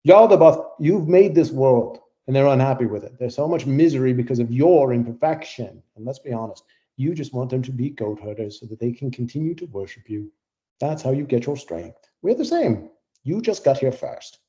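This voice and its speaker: A man's voice: medium pitch, expressive, moderate pace, mellow, muffled recording, lightly acted. An actor playing a cold, dismissive male technology advocate in an audio play, blunt and insistent. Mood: accusing, scornful. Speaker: debater.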